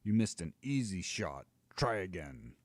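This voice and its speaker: A man's voice: deep voice, very animated, unhurried, no echo, voice pushed up. A man voicing a blustering male giant who scolds and lectures a dragon. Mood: scolding. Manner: hectoring, bossy.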